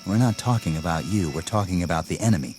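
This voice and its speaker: An actor playing a zealous young army lieutenant in a radio drama, commanding and scornful in a clipped way. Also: male voice, deep voice, lively intonation, quick pace. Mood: confident, cold. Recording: radio drama with music underneath.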